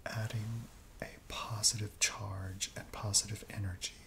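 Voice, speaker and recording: A middle-aged man in a soft, breathy whisper, murmuring slowly and gently in an ASMR roleplay as a chemist. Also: lowish voice, little pitch movement, slight background noise. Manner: slow, gentle, unhurried step-by-step murmuring. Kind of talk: ASMR roleplay, soft-spoken personal attention. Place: small indoor set, seated at a worktable.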